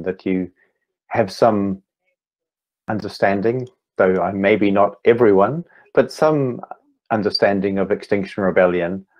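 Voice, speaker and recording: A man in his fifties with a New Zealand accent, giving an online talk as a meditation teacher, speaking slowly and deliberately with gentle pauses. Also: low voice, low-quality recording. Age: 50s. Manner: slow, deliberate, gently pausing. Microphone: video call.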